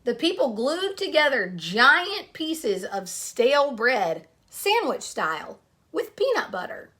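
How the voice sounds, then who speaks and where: Female storyteller for young children: high, animated voice, picture book narration with chatty asides. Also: takes it slowly. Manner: expressive, addresses young listeners with questions. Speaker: storyteller reading aloud to children.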